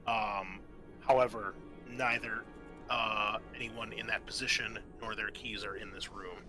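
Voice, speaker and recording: A man acting as game master, narrating a tabletop roleplaying game to friends and explaining rules in a halting way. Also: on the low side, steady tone. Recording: faint music behind the voice.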